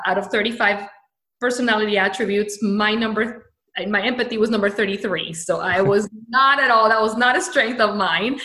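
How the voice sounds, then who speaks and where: A woman's voice: mid-range voice, bright, forceful tone. A woman from Venezuela, a brand storyteller, giving an upbeat podcast interview with many fillers and restarts. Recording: echoey room.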